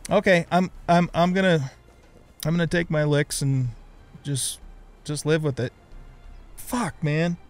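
Middle aged guy, kinda higher voice, chatting casually thru a lets play, talks to himself as he goes. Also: steady tone, a little slow, pauses often, soft background music. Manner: talks to himself, thinking aloud. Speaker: let's play host. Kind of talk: casual gaming commentary.

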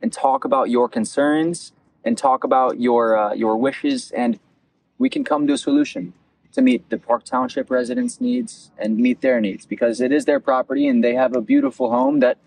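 A young man in his twenties with an average-pitched, creaky voice interviews as a local candidate. He swings his pitch around in an earnest, halting way, restarting phrases as he goes. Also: very animated, a little mellow, low-quality recording. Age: 20s. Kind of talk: campaign interview. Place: outdoors at a beach picnic table.

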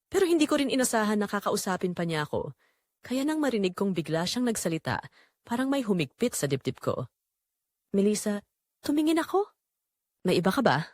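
A woman's voice: medium pitch, dry recording, clean studio sound. A woman narrating a scripted first-person drama in an earnest, emotive way.

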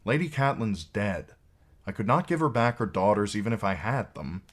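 A man's voice: on the low side, lively intonation, a little fast, pitched above the performer's own voice. A man voicing a bitter, cynical knight commander in an audiobook, speaking in a dry, sardonic, commanding way. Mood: wry, cynical.

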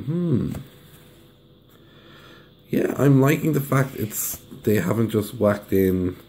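Hoarse, gravelly low-voiced man. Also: warm tone, a little hiss or hum. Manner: chatty, hums while unwrapping. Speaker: deck reviewer. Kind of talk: casual unboxing review. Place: indoors at a table. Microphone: camera over the table filming hands.

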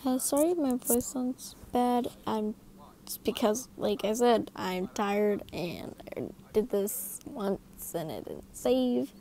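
A young woman narrates her drawing video at a slow, dragging pace, sounding tired and trailing off into fillers. Her voice sits a little high, close on the mic, with a faint hiss in the background. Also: lively intonation, mellow. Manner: rambling, filler-heavy, often apologizing. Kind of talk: casual voice-over narration while drawing. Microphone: mic right next to her mouth.

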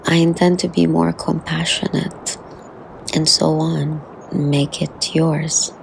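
A woman guides a meditation in a soothing, very slow delivery. Her voice is flat in pitch and heavy with vocal fry, softening into breath as phrases end. She leaves long, unhurried pauses. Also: slight background noise, low-quality recording.

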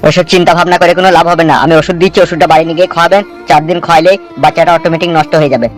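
A man's voice: a little high, flat delivery, racing, lightly acted. A man voicing brash, lecturing village men in a comedy cartoon, with a blustery manner. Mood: admonishing. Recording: music behind the voice.

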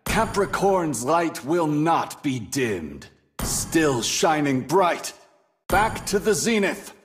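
Video game conqueror: haughty, domineering celestial warrior, theatrical and measured, with very animated pitch. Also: male voice. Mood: taunting, triumphant. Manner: grandiose, theatrical, boastful declarations. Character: proud, domineering male Capricorn star warrior, boastful conqueror. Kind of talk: video game hero voice lines. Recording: game music and battle effects behind.

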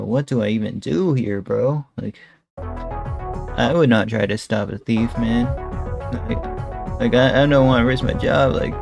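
A man's voice: mid-range voice, vocal fry, a little mellow. A young man streaming a casual reaction, rambling with lots of fillers like "bro" and "guys", talking into a headset at home. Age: young adult. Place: indoor room at home. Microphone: headset, webcam framing.

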